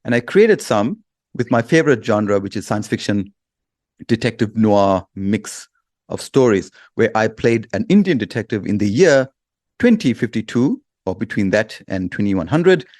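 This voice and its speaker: A man's voice: on the low side, animated, moderate pace, a little mellow, dry recording, studio quality. A man giving persuasive, explanatory talk as a guest expert, emphatic and fond of repetition and lists.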